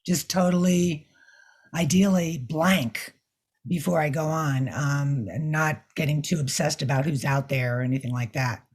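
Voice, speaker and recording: An older woman with a scratchy, hoarse voice, speaking thoughtfully as an interview guest and sometimes searching for words. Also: low voice, measured pace, darkish tone. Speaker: guest mime artist. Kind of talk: casual interview talk.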